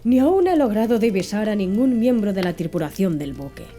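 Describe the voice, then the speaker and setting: A woman's voice: mid-range voice, very animated, fairly quick, warm tone, faint music behind, close to an everyday voice. A woman reading as a blunt male harpooner. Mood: suspicious. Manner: blunt, questioning. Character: brash, curious male Canadian harpooner.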